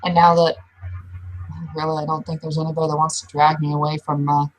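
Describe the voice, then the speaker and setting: A woman playing a guarded, mouthy werewolf woman who talks bluntly and haltingly in an improvised roleplay. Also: low-pitched, level tone, lightly acted, poor audio. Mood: casual. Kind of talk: improvised in-character roleplay dialogue.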